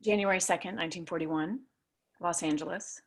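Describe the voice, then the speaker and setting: A woman's voice: lowish voice, fairly quick. A woman playing an earnest, determined member of a mission team in a scripted drama read. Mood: insistent.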